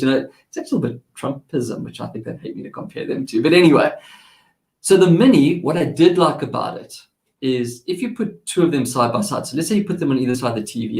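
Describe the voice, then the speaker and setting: A man's voice: medium pitch, a little mellow, reverberant. A man with a South African accent, hosting a casual tech segment and chatting in a rambling way with lots of restarts. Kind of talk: casual chat.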